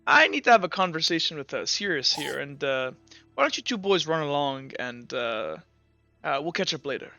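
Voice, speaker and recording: A man voicing a kindly older male mentor who speaks haltingly with many fillers. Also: fairly high pitch, sing-song, vocal fry, sharp tone, no echo, faint music behind, heavily acted. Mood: measured. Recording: dull lo-fi mic.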